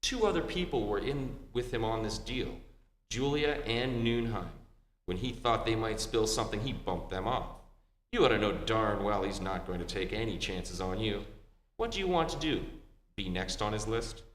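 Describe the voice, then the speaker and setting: Man playing a wisecracking radio detective, lively and echoey. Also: fairly low pitch, close to an everyday voice. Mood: pressing. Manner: deliberate explaining, dry wisecracks. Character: shrewd, wisecracking male detective unmasking a killer. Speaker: amateur stage actor. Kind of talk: old-time radio detective drama. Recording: echoey hall with faint hum.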